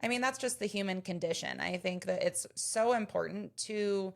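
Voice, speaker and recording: A woman's voice: mid-range voice, moderate pace, creaky. A woman in her thirties, a podcast co-host, chatting casually and earnestly, explaining her points with everyday examples. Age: 30s. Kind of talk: casual chat.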